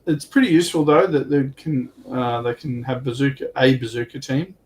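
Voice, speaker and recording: A man chatting casually as he explains tabletop game rules, with halting, hesitant delivery. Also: mid-range voice, steady tone. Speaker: guest on a tabletop wargaming livestream. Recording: clearly noisy background.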